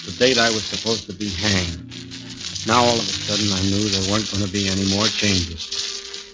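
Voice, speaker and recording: A man plays a working-class American prisoner facing death, telling his story in a low, brooding voice. The delivery is plain and confiding, in old radio style. Loud music sits behind the dull 1940s recording. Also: natural voice. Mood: resigned. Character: haunted working-class condemned man recounting his ordeal. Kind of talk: 1940s radio acting, first-person narration.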